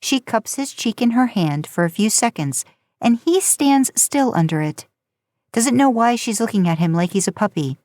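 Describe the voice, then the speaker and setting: A woman's voice: on the high side, animated, moderate pace, a little mellow, no echo. A woman reading a story aloud in an informal, conversational audiobook style. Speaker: podcast host narrating fan fiction.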